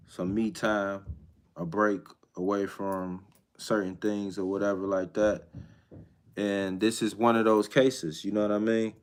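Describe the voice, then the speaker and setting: A man in his thirties hosting a casual live chat with viewers, talking in a hesitant, reflective way with many pauses and restarts. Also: lowish voice. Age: 30s. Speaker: livestream host.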